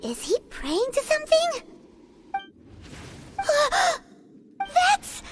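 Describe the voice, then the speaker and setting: A woman voicing a tiny, timid and excitable childlike fairy companion in a video game, with a squeaky voice. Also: very slow, heavy background noise, heavily acted. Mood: nervous, curious. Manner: refers to self in third person, nervous.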